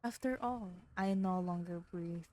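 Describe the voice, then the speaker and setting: Slow-reading woman streamer. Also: fairly low pitch, warm tone. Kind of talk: dramatic visual novel read-aloud with casual reactions. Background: background music.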